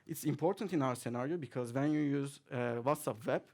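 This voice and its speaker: A man talks through a tech demo at a conference, at an everyday middle pitch. He is relaxed and chatty, leaning on fillers and chuckling now and then as he goes. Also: a little fast. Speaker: conference speaker. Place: conference hall, audience present.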